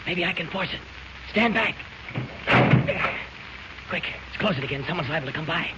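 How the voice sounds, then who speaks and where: An actor playing a bold, confident superhero with a clipped, commanding manner in a 1940s radio adventure, on an old noisy recording. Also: male voice, a little high, lively intonation, normal speed, natural voice. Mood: urgent. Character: bold, brisk, confident male superhero in disguise.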